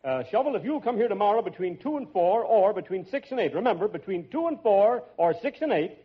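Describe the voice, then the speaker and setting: An actor playing a daffy, self-important quack doctor in an old-time radio comedy, fussy and easily indignant. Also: male voice, high voice, sing-song, fairly quick. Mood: brisk. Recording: muffled old radio recording, faint audience.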